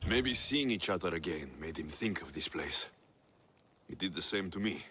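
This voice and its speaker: An actor playing a determined, wry young Spartan warrior in a video game, speaking in a terse, dry way. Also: male voice, low-pitched, animated, moderate pace, bright, forceful tone, slightly rough, full character voice. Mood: calm, curious. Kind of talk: video game dialogue acting.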